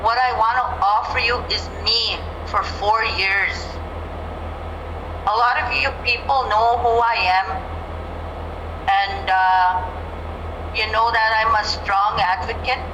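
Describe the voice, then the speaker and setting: A middle-aged woman speaks slowly and deliberately, laying out her case in a plain, earnest way. Her voice comes through a phone on a video call, with a roomy echo around it. Steady background noise sits under her words. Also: a little high, highs cut off. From Manitoba, Canada. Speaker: candidate for chief. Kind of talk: campaign speech at a community forum.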